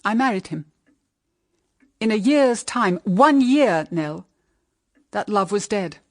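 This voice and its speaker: A woman's voice: mid-range voice, lively intonation, slow pace, dry recording, studio quality. An actress with a British accent playing a middle-aged widowed mother, solemn and anguished, in radio drama style. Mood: bitter anguish. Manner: solemn, intense, melodramatic. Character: anguished, bitter widowed mother pleading with her daughter.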